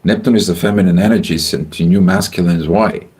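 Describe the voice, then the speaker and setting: A man from Bulgaria, hosting a livestream astrology forecast in a halting way with many filler sounds. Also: sits low, steady tone, darkish tone.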